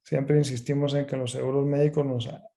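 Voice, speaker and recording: Very mellow-voiced male doctor lecturing. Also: mid-range voice, level tone, a little fast, gravelly. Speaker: ENT specialist doctor presenting a webinar. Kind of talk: explanatory lecture.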